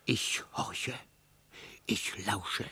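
An actor playing a servile, excitable town bailiff in a comic radio play, plaintive, long-winded and melodramatic. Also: male voice, drawn-out words, no echo, in a whisper. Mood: breathless storytelling.

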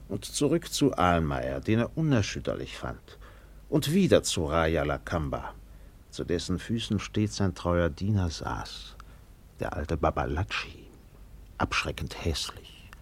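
Male narrator, low pitch, scripted literary storytelling, radio play.

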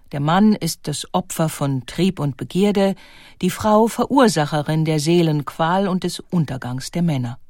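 A woman's voice: fairly low pitch, mellow, no room sound. Woman narrator with a dry studio sound. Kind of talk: scripted documentary narration.

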